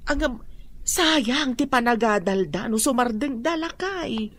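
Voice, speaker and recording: A woman's voice: fairly high pitch, lively intonation, a little slow, fairly bright tone, no room sound. An anxious Ilocano mother. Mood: worried. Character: worried middle-aged mother who defends her children. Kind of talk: radio drama acting.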